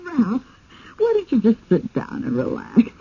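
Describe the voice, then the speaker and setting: On an old, dull-sounding radio drama, a reasonable, placating wife. Her voice is fairly high and husky, with lively swings, and she puts things hesitantly. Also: unhurried, heavily acted. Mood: soothing. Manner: hesitant, conciliatory.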